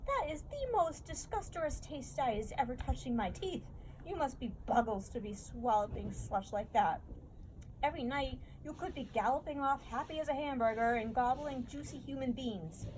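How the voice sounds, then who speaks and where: A woman voicing a greedy, bullying male giant who shouts in mangled comic grammar in a storybook reading. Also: high-pitched, expressive, normal speed, slight background noise, voice pushed up, rough recording. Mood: disgusted, enraged. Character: greedy bullying man-eating giant, male, foul-tempered.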